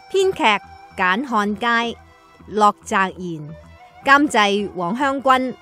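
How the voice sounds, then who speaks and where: A woman's voice: medium pitch, a bit bright. Woman announcing radio drama credits over music. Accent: Hong Kong Cantonese.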